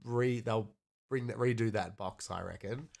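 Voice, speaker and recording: A man's voice: fairly low pitch, moderate pace, vocal fry, a little mellow. A man with a blocked nose co-hosting a podcast, chatting casually, laughing easily and reading out questions from the chat. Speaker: podcast co-host.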